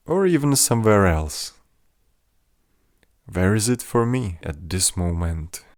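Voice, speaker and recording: A man with a fairly low voice guides a mindfulness meditation. He speaks very slowly and gently, giving measured instructions. The sound is dry, with no room echo. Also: sing-song.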